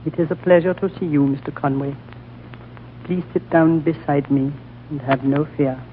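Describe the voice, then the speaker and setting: An actor playing an ancient, frail High Lama who speaks slowly and courteously, in 1930s radio drama style. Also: male voice, steady tone, close to an everyday voice. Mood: gentle. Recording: tinny old radio broadcast, faint hiss.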